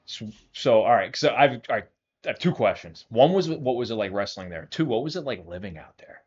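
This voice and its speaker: A man's voice: medium pitch, expressive, a little fast, slightly rough, dull audio. A man hosting a casual interview, affirming his guest with frequent short replies and asking follow-up questions. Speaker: podcast host, coach.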